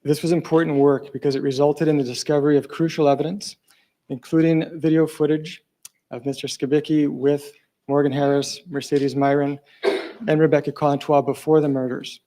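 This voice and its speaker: A soft-spoken man delivers a police statement at a press conference, pausing often and filling gaps. His voice is dark and gravelly and stays flat in pitch. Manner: soft-spoken, hesitant, many fillers. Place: large press conference room.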